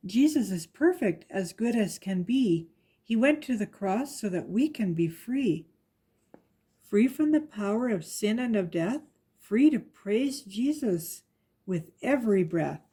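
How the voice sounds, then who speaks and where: A woman reads a rhyming picture book to children in a soft-edged, mellow voice with some huskiness. Her delivery is measured and follows the lilt of the verse, at a middling pitch. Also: expressive, slightly rough. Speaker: guest story reader.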